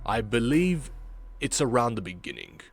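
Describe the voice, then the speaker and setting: A man hosting a casual reaction video as an amateur voice actor, talking in deliberate, halting phrases. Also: mid-range voice, wildly swooping pitch, creaky, soft background music.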